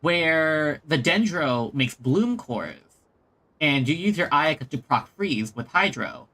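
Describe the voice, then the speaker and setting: A man chatting casually as he streams a game, halting as he thinks aloud. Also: fairly high pitch, expressive. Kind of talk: casual chat while playing a game. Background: soft game music.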